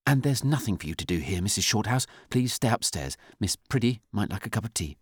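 Man voicing a brusque, higher-pitched senior nurse woman. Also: big pitch swings, a little fast, husky, dry recording, clean studio sound. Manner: brusque, commanding.

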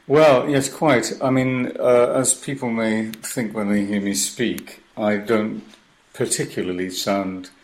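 A man in his sixties from Wales, a baritone singer, talking as a guest in a radio interview from his home in a rambling, hesitant way, often stopping and restarting. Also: steady tone, slow, rough recording.

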